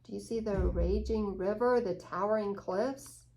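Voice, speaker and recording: A woman's voice: mid-range voice, a little slow, a little mellow, poor audio. A woman hosting children's story time, reading a picture book with dramatic emphasis and questions for young listeners.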